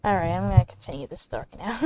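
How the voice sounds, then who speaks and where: A woman's voice: medium pitch, very animated, quick pace, warm tone. A giggly, apologetic woman chatting casually as she streams a game from home. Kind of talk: casual chat while playing a game. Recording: home mic with clear fan and TV noise.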